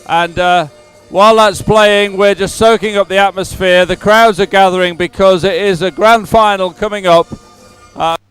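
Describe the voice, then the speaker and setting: A male sports presenter talks off the cuff, live from a waterside venue. His voice is high for a man and exceptionally clean and smooth, with music playing faintly at the venue behind him. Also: steady tone, moderate pace, fairly bright tone, low-quality recording. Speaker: sports event presenter and commentator. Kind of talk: unscripted live event commentary. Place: outdoors, waterside at a racing venue.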